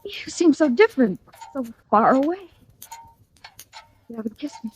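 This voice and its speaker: An actress playing an anxious, devoted young sweetheart in pleading, breathless 1940s radio melodrama style. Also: female voice, high-pitched, very animated, moderate pace, a little hiss or hum. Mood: pleading, tender. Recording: dull old radio recording.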